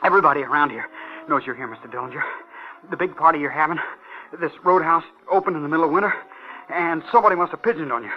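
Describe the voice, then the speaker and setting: A man's voice: on the high side, quick pace, natural voice. An actor playing a nervous, eager, deferential country boy in a 1950s radio drama. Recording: dull vintage radio, faint music.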